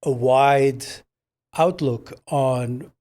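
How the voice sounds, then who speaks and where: An older man from Morocco whose first language is French, telling his life story slowly as a podcast guest, with hesitant pauses and fillers. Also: medium pitch, darkish tone. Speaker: podcast guest, business owner. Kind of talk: relaxed interview storytelling.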